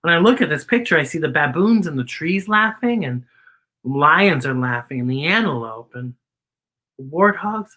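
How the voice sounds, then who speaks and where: A woman hosting children's story time, reading a picture book expressively and deliberately explaining it to young listeners. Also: low-pitched, lively intonation, bright, forceful tone.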